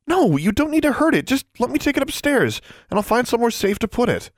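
A man's voice: medium pitch, sing-song, a little fast, dry recording, studio quality, pitched above the performer's own voice. A man voicing a stubborn young schoolgirl witch in an audiobook, insistent, pleading and defiant. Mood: stubborn, alarmed.

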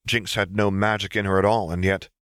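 Low-voiced male audiobook narrator. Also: level tone, a little fast, fairly bright tone, clear, resonant tone, no echo, studio quality. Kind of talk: scripted dramatic story narration.

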